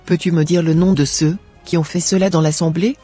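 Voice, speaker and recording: Earnest churchgoing sister in a cartoon played by a woman, husky, quiet music. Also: lowish voice, fairly even intonation, a little fast. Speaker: channel co-host. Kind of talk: scripted cartoon voice-over.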